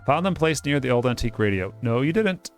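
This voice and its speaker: Guy reading visual novel text out loud, fast, sardonic little asides, faint music under him. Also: medium pitch, big pitch swings. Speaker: host of a game playthrough. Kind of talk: reading game text aloud with casual commentary.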